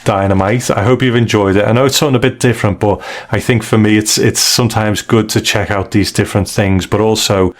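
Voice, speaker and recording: A man with a fairly low voice talks as a podcast host. His delivery is conversational and measured, politely softening any criticism as he reviews. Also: fairly even intonation.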